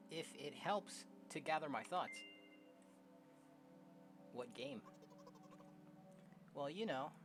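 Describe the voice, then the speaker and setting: A young woman casually commenting on a game and reading its text aloud in a slangy, expressive way. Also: low-pitched, background noise. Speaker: streamer playing a game. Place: own room at home.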